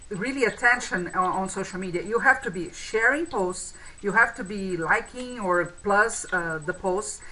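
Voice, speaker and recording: A woman with a strong voice, co-hosting a video hangout and presenting marketing tips in a relaxed, conversational way, often restarting her sentences. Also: medium pitch, slight background noise, poor audio. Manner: conversational, thinking aloud with frequent restarts. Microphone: video call.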